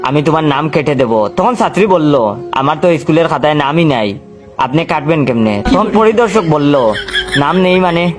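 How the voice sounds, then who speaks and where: A man's voice: fairly high pitch, lively intonation, moderate pace, music in the background. A man narrating a scripted comic story as a storyteller.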